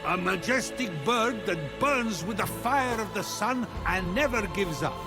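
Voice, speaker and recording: A blustering king of gods from a video game, grandiose and volatile. The voice sits high and swings widely, with music behind it. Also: lively intonation, measured pace. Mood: proud.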